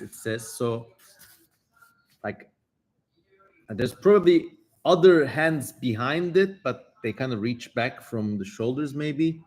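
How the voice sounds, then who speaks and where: A man hosting a casual livestream, reading aloud and commenting in a wry, playfully theatrical way. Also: on the high side. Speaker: livestream host.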